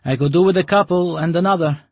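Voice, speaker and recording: A man voicing a brash, pushy male broker who haggles loudly. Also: high-pitched, fast talker, dry recording, voice pushed up. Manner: loud, pushy, bargaining.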